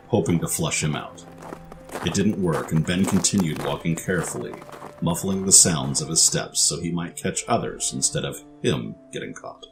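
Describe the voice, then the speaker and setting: A man reading scripted story narration for an audio drama. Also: sits low.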